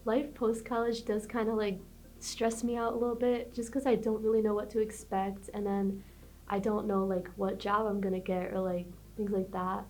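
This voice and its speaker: A woman's voice: medium pitch, darkish tone, low-quality recording. A woman in her twenties, a college student, talking casually with lots of fillers in an interview. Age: 20s. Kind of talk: documentary interview.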